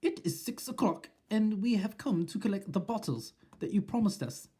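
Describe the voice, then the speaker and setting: A man's voice: high voice, lively intonation, normal speed, warm tone, slightly rough, voice pushed up. A man voicing a group of timid old witches speaking meekly and deferentially. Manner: meek and deferential.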